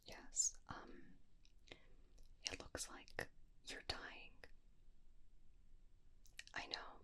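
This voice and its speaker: A woman doing a whispered ASMR roleplay as a clinic examiner, with a soft, breathy voice and gentle, conversational bedside manner, close to a binaural microphone.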